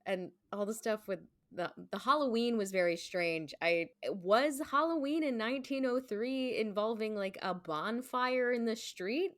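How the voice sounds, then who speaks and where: A woman's voice: fairly high pitch, measured pace, few pauses, fairly bright tone, no room sound, clean studio sound. A woman speaking as a podcast guest in a casual, informal conversational way, often saying "like". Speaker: podcast guest, stage performer. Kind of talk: casual chat.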